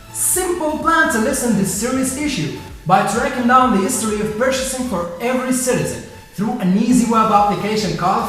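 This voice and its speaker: A young man in his twenties presents a web project to judges. His voice sits high and he explains each point earnestly from a script. The room rings with heavy echo, and faint music plays underneath. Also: fairly bright tone. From Algeria. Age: 20s. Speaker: student web developer pitching to a jury. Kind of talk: reading a prepared pitch presentation.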